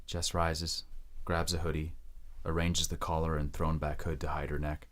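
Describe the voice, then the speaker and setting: An extremely low-voiced man serves as narrator of an audio drama. He reads scripted scene descriptions in a deep bass register. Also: flat delivery, moderate pace, fairly bright tone.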